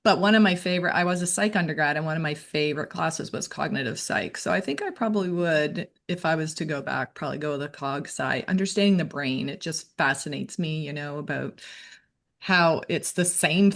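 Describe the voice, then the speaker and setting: Lower-voiced woman host just chatting, easygoing, lots of mm-hmms. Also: fairly quick, gravelly. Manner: relaxed, lots of listening noises, muses aloud. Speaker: podcast host. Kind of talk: casual podcast conversation.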